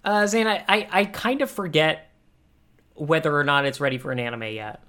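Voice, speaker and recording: A man's voice: a little high, moderate pace, sharp tone. A man co-hosting a podcast in casual comedic chat, rambling and restarting his phrases as he goes. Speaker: podcast co-host.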